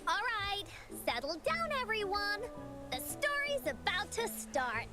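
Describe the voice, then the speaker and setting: High, lilting game storyteller. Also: female voice, heavily acted. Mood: gently hushing. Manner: expressive, measured storytelling. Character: gentle female storyteller addressing young children. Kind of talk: storybook narration in a video game. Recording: loud music under game audio.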